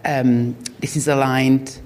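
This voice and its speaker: Middle-aged woman from croatia, halting speech full of fillers, reverberant hotel hall. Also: extremely low pitch, level tone, darkish tone, a little hiss or hum. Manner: hesitant, many fillers and restarts. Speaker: tourism brand manager. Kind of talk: live panel discussion.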